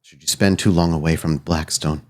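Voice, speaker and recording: Man playing a very deep, cryptic hotel-bound spirit. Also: steady tone. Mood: cryptic, solemn. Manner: cryptic, solemn, speaks in short measured phrases.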